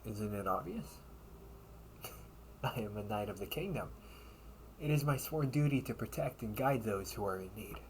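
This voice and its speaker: A man playing a loyal young knight in an audio roleplay, a caring, gently teasing friend who speaks in halting, formal phrases. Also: on the low side, lively intonation, slight background noise, poor audio. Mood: gentle concern. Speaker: amateur audio roleplay performer. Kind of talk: intimate audio roleplay acting.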